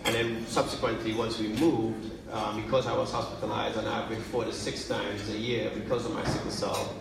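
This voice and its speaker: A man in his forties from Trinidad and Tobago giving a measured keynote speech as a university president at a luncheon. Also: lowish voice, level tone, creaky, crowd noise. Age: 40s. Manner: measured, deliberate, with frequent fillers. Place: large banquet hall. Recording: distant mic in a very echoey hall, noisy.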